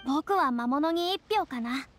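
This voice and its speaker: Self-assured young lady, high voice, boyish phrasing, airy manner, game dialogue with soft music. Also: expressive, unhurried. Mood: relaxed confidence.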